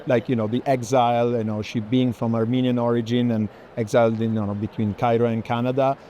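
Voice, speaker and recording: A man leads a conversation on the move. His tone is dark and relaxed at a medium pitch, and he explains at length. Ambient noise surrounds him. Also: brisk, highs cut off. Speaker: curator hosting a walking tour. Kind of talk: itinerant art conversation. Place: busy art fair. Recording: clear urban ambient noise.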